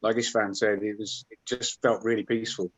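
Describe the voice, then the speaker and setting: A man taking part in an online meditation group, speaking in a soft and hesitant way. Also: on the low side, little pitch movement, fairly quick, breathy edge, poor audio. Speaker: meditation participant. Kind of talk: casual group chat. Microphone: video call.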